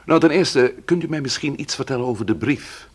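An actor playing a calm, courteous police inspector in a Dutch radio play, asking measured, probing questions. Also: male voice, mid-range voice, husky, close to an everyday voice. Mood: courteous, businesslike.

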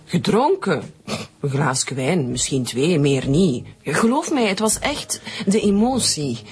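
A woman's voice: low voice, sing-song, takes it slowly, husky, full character voice. An actress playing a flustered, sentimental woman in a radio drama, defensive and pleading as she is questioned. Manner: hesitant, pleading. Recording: faint music behind.

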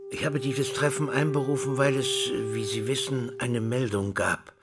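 An actor playing a pedantic school director in a theatrical drama, speaking in formal, wordy, deliberate phrases. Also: male voice, mid-range voice, steady tone, loud music behind the voice, lightly acted. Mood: formal, grave. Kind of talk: theatrical drama dialogue and monologue.

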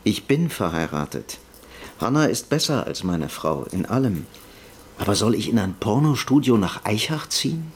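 A man's voice: lowish voice, sing-song, normal speed, a little hiss or hum. An actor playing a troubled married man confiding in naturalistic radio drama dialogue. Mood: rueful.

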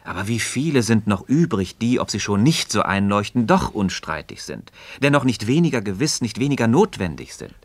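An actor playing a learned, earnest and gently ironic Freemason friend who teaches in a measured, rhetorical way in a radio drama. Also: male voice, lowish voice, very animated, no echo. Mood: earnest, instructive. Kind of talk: scripted philosophical dialogue in German radio drama.

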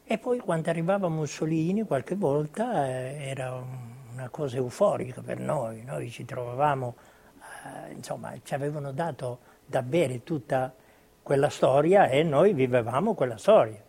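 An elderly man from Italian colonial Libya giving spontaneous recorded testimony, recalling his past in a halting way with repeated words. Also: mid-range voice, gravelly, darkish tone, slightly rough. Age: older, over 70. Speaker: witness recalling his childhood.

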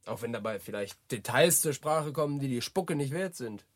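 An actor playing a wry man in radio drama conversation, husky-voiced, questioning and teasing. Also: medium pitch, animated, moderate pace, lightly acted. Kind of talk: naturalistic radio drama dialogue.

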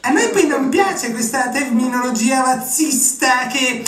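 Woman doing a snooty casting lady, high voice, slow and smug, sounds far off in an echoey room with faint music. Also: animated. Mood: smug mocking. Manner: patronizing, mocking, chuckling, mixes up names. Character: smug condescending female casting producer, middle-aged. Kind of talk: live radio comedy acting. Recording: roomy, distant, faint music behind.

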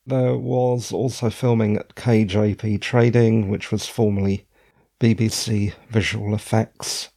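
A middle-aged man hosting a podcast with a hoarse, croaky voice, chatting in a digressive, self-deprecating way. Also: on the low side, mellow. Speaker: podcast host. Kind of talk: casual podcast commentary.

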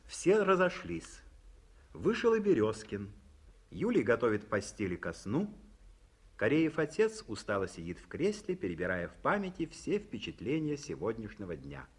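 Narrator, male, husky, lively delivery, old radio studio recording. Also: medium pitch. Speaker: narrator reading stage directions. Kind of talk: 1950s radio play narration.

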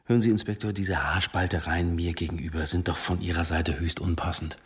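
An actor playing a weary, guarded police chief in a radio drama, speaking formally and probingly with a superior's reproving edge. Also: male voice, low-pitched, steady tone, a little fast, husky. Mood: stern, irritated.

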